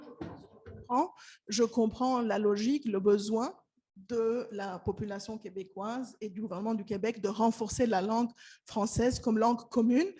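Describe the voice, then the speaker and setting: Une femme chercheuse qui présente des résultats de recherche lors d'une table ronde universitaire, d'un ton sérieux et insistant. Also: female voice, a little high, dark tone, breathy edge, no echo. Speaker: researcher presenting. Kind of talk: academic panel presentation. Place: university conference hall.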